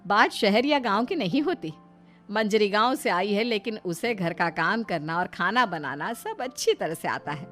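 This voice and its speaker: A woman's voice: mid-range voice, expressive, normal speed, a bit bright, soft background music, close to an everyday voice. A woman voicing an older village mother-in-law in a cartoon story, wry, emphatic and given to teasing and admonishing. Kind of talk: Hindi cartoon story character acting.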